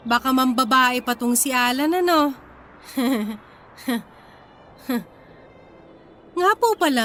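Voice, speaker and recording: A woman's voice: high-pitched, a bit bright, slight background noise, exaggerated voice. A woman playing a resentful young wife and mother in a Filipino radio drama, polite but needling, with a Filipino accent. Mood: feigned innocence. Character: resentful young wife and mother, sarcastically sweet then confrontational.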